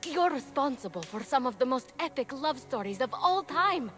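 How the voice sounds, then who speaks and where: Young game heroine: earnest, awkward, excitable, very lively intonation, music underneath. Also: female voice, sits high, normal speed. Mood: starstruck, awkward. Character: eager, awkward young heroine, a starstruck mortal woman. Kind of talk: video game character acting.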